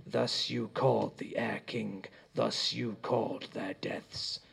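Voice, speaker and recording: A man voicing an ancient sea god speaking through a corpse, slow and archaic, with gloating menace. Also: lowish voice, level tone, vocal fry, fairly bright tone, husky, heavily acted.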